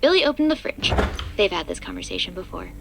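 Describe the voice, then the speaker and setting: A woman's voice: lowish voice, wildly swooping pitch, fast, background noise. A woman narrating a scripted audio drama in the third person.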